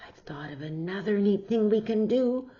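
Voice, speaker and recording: An adventurous, eager young boy from a storybook, voiced by a woman. He stretches words for emphasis with animated pitch, and the recording is a little dull. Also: moderate pace, close to an everyday voice. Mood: excited. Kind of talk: storybook read-aloud character voice.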